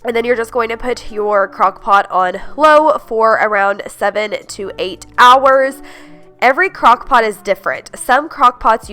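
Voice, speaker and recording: A young woman with a congested, stuffed-up voice, chatting upbeat about easy slow-cooker recipes as a home cooking vlogger. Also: mid-range voice, bright, forceful tone. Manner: upbeat, gushing, many "like"s and "you guys". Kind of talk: casual chatty recipe voice-over.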